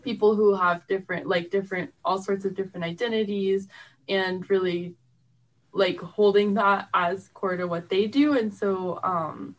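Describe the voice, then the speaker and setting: A woman's voice: mid-range voice, steady tone, normal speed. A woman speaking casually and conversationally as a guest in an interview.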